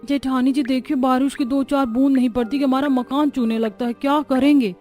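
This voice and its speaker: A woman's voice: sits high, steady tone, a little fast, dark tone, faint music behind, lightly acted. A woman voicing an exasperated, practical young village daughter-in-law in a cartoon story, complaining emphatically. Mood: worried, grumbling. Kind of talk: Hindi cartoon story acting.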